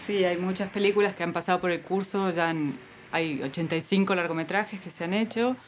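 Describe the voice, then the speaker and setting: A man organizing a film course, answering an interview with hesitant pauses. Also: high-pitched, a little hiss or hum.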